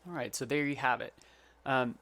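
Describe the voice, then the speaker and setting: A man's voice: mid-range voice, steady tone, moderate pace, creaky, noisy background. A man who works as a sound designer, giving an informal, plain-spoken tutorial. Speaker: sound designer presenting his project.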